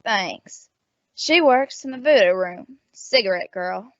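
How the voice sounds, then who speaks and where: A woman playing a gruff, suspicious, money-minded rooming house landlady in an old-time radio detective drama, blunt, slangy and wisecracking. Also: medium pitch, lively intonation, takes it slowly, a bit bright, no room sound, lo-fi sound. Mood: guardedly cooperative.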